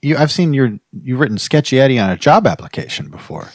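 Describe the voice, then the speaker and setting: A man's voice: mid-range voice, sing-song, fast, dry recording. A man hosting an improvised comedy podcast, rambling in slangy, playfully earnest chat. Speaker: podcast host.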